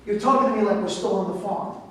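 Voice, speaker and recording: A man's voice: sits high, quick pace, sharp tone. A man in a staged play reading, acting an earnest newspaper reporter and wounded old flame, defensive and pleading. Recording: very echoey room, distant mic, faint noise.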